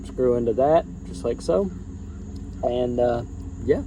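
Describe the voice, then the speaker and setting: A man's voice: mid-range voice, takes it slowly, mellow. Folksy man rambling outdoors. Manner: rambling, folksy, restarts phrases while working. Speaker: DIY truck vlogger. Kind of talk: casual how-to vlog. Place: outdoors beside a truck, crickets around. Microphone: handheld camera, no tripod. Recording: noisy outdoor recording with crickets.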